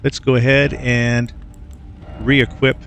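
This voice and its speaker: A man's voice: medium pitch, flat delivery, slow, fairly bright tone, slight background noise. A man casually commenting to himself while playing a video game, easygoing and muttering with plenty of fillers. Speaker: let's play gamer.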